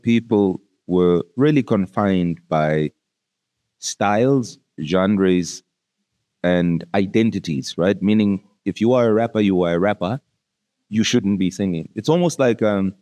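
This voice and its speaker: A man with a lowish, very mellow voice hosts a casual interview. He talks in a familiar, slangy way, like one friend to another. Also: measured pace. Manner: uses slangy, familiar address like "bro". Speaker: podcast host interviewing a musician.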